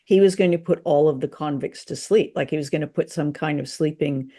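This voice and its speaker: A man's voice: on the high side, brisk, dark tone, husky. A middle-aged man co-hosting a podcast, casually discussing and explaining things in a chatty way. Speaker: podcast co-host. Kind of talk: casual discussion of a radio drama.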